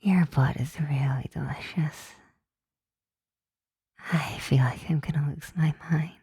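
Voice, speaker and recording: A woman playing a flirty, softly dominant, affectionate vampire roommate in an intimate ASMR roleplay, breathy and often whispering, teasing and tender. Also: sits low, fairly even intonation, takes it slowly, no echo, studio quality, full character voice. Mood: teasing, sultry.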